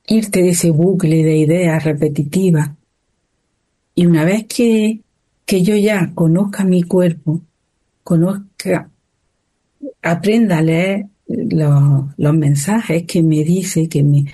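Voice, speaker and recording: A woman, calmly reflecting as a co-host. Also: lowish voice. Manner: calm, reflective, thinking aloud.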